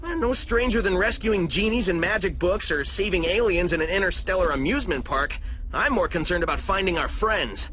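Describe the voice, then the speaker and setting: Game role: cocky young hedgehog hero, lively high delivery, upbeat wisecracker. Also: male voice, a little fast, a little hiss or hum, low-quality recording. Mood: casual, curious. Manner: breezy, confident wisecracking. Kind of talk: video game cutscene acting. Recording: music behind the voice.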